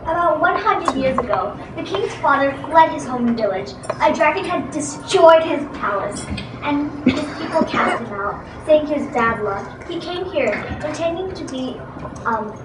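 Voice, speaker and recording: High female storyteller amid loud noise. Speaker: readers theatre performer. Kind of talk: amateur scripted storytelling. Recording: very noisy echoey room, distant mic.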